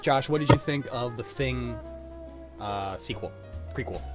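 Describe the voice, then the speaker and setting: A man's voice: mid-range voice, a little slow. A young man in casual group chat, talking in a crude, joking, sweary way. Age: young adult. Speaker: show cast member. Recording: music behind. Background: music.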